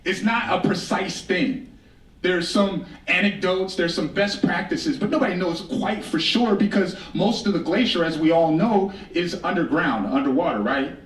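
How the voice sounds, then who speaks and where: A man's voice: high-pitched, moderate pace, fairly bright tone. An American man from the Northern Plains giving an informal storytelling talk on stage, conversational and wry, repeating phrases for rhetorical effect. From United States, Northern Plains. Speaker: public speaker, storyteller. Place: indoor stage talk in a roomy venue. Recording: roomy echo, distant microphone.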